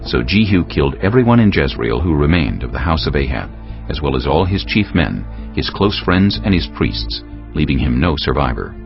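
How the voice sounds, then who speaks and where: A man's voice: rumbling low, very animated, normal speed, vocal fry. A man narrating a dramatized scripture audiobook in a storytelling, scripted style. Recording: slightly dull audio, music behind. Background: background music.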